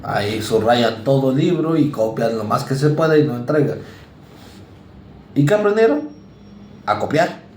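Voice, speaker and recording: A Mexican man lectures a university psychology class in a relaxed, conversational way, now and then acting out quick exchanges with students. His voice sits at an ordinary male pitch. The recording is roomy and echoey, with a faint background ambience. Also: a little hiss or hum. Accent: Mexican Spanish. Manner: conversational, acts out students and parents in quick asides.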